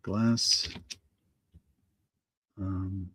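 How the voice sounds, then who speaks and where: Halting man hosting a live auction. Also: deep voice, level tone, bright, forceful tone, slightly rough, no echo. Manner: halting, lots of ums and restarts. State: hoarse from allergies. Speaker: online auction host. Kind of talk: rambling live-stream auction chat.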